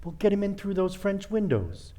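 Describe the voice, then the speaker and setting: A man's voice: sits high, sing-song, moderate pace, dark tone. A man playing a menacing, commanding criminal nephew with sinister politeness in a radio comedy. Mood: gleeful scheming. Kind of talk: old-time radio comedy acting.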